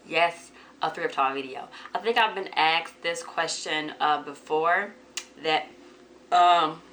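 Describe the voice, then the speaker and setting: A woman with a hoarse voice from a cold, chatting casually to viewers in a haul vlog. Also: sharp tone, slight background noise. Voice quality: deeper than usual. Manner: chatty, addresses viewers as y'all. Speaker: vlogger.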